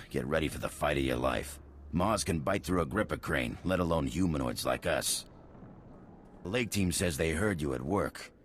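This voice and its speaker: Very deep-voiced, folksy working man. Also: a little hiss or hum. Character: plain-spoken, weary colony engineer, gruff man. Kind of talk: video game dialogue.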